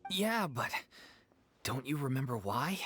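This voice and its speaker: A voice actor playing a gentle, concerned young troupe member in a game. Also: mid-range voice, big pitch swings, a little slow, soft background music. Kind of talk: game voice acting.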